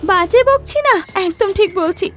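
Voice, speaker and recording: A woman voicing a furious, accusing village wife in a cartoon, scolding loudly. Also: very high voice, lively intonation, moderate pace, over music. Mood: angry, accusing. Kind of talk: cartoon dialogue.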